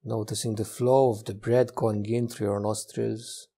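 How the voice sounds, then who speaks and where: A man leading a guided meditation as a teacher, speaking gently in short, unhurried phrases with long pauses. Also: on the low side, little pitch movement, slightly rough.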